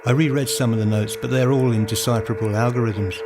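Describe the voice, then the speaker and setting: A man reading dramatic, literary first-person narration for a radio play in a deliberate storytelling manner. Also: medium pitch, fairly even intonation. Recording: music behind the voice. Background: music.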